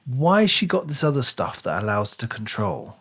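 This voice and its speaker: A man's voice: mid-range voice, wildly swooping pitch, moderate pace, a bit bright, husky. A man co-hosting a casual book discussion podcast, speaking in an emphatic, analytical way. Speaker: podcast host.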